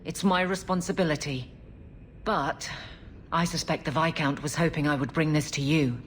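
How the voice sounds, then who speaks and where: A woman playing a stern, dutiful female city guard captain in a video game, speaking in an earnest, firm, measured way. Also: low voice, a little hiss or hum, close to an everyday voice. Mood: dutiful, concerned.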